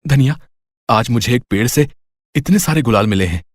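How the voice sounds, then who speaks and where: A man acting an earnest, animated poor woodcutter. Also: mid-range voice, moderate pace, no room sound, clean studio sound, natural voice. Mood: hopeful, urgent. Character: poor, earnest, kindly young woodcutter husband. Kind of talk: Hindi moral story voice acting. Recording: music behind the voice.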